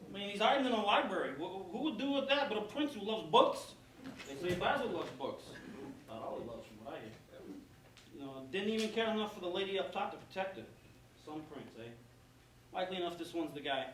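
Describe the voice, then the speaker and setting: A bullying soldier in charge played by a man sneers in coarse everyday speech. His high voice leaps around in pitch, heard from far off in an echoey, noisy room during a staged play. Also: lively intonation, brisk, fairly bright tone. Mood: scornful. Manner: gruffly colloquial, menacing. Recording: distant echoey room, background noise.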